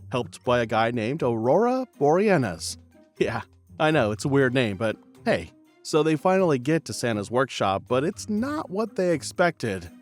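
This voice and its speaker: A man narrating a cartoon recap in a playful, chatty scripted style. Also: mid-range voice. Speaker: channel narrator.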